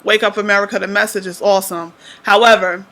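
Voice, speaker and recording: A woman's voice: mid-range voice, fairly even intonation, quick pace, bright, forceful tone. A woman hosting a casual radio interview, giving blunt, streetwise, encouraging career advice. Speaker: radio show host.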